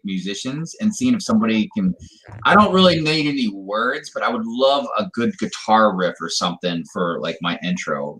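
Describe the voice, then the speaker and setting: A male host chats in a friendly, enthusiastic way. His voice is low but bright and forceful. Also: low-quality recording. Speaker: livestream host. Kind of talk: casual livestream chat.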